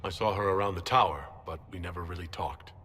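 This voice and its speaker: An actor playing an earnest, principled fantasy game hero, speaking in a serious, deliberate way. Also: male voice, low voice, a bit bright. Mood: firm. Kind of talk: video game dialogue acting. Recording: long hall reverb.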